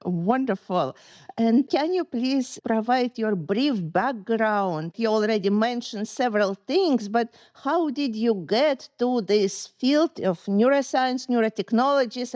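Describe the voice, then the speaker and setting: A woman hosting a podcast, reading a formal introduction and asking measured, polite questions. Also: on the high side, darkish tone, no room sound. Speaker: podcast host. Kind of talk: podcast interview and scripted intro.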